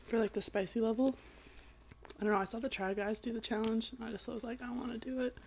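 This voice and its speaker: A chatty young woman with a naturally loud voice who keeps dropping "like" as she talks and eats beside her sister. The recording has a faint hiss from a table mic in a living room. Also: fairly high pitch, steady tone, fast. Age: young adult. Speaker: guest, host's sister. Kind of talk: casual chat while eating. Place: living room couch, indoors.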